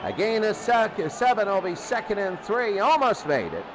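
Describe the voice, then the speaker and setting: A man doing excitable play-by-play football commentary in a radio style, often repeating key phrases. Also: sits high, moderate pace, over a noisy crowd, very noisy.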